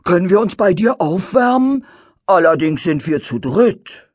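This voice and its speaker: A woman's voice: lowish voice, lively intonation, measured pace, a little mellow, slightly rough, no echo. A woman playing a big, friendly bear-like witch in a children's audiobook, drawing out her words as she pleads. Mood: pleading, friendly.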